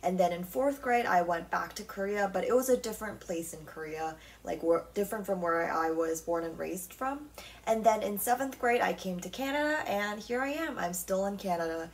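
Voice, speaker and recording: A woman's voice: mid-range voice, animated, moderate pace, recorded from a distance, rough recording. A young woman hosting her own podcast in casual solo chat, talking loosely with lots of "like" and "um". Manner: chatty, frequent "like" and "um" fillers. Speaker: podcast host.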